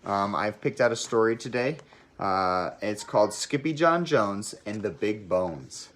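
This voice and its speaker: A teacher reading a storybook aloud to children, expressive and speaking directly to young listeners. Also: medium pitch, a little slow. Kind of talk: friendly storybook read-aloud.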